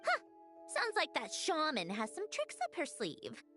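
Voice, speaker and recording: A woman's voice: high-pitched, wildly swooping pitch, a little slow, sharp tone, soft background music, heavily acted. A woman playing a prickly, guarded young female machine being in a video game, haughty and precise in her wording. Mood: brisk, confident. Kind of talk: video game character acting.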